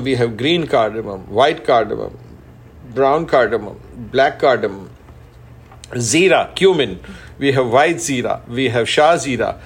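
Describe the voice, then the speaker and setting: A man's voice: mid-range voice, husky. A middle-aged man from Pakistan and India, a chef and restaurant owner being interviewed, who talks with enthusiasm and repeats words as he lists things. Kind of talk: interview guest.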